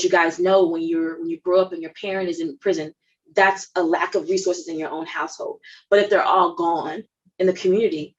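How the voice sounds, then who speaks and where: A woman lawyer from Dallas speaking on a panel about her upbringing, measured and personal, with fillers and restarts. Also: on the low side, muffled recording. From Dallas, Texas. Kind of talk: panel discussion.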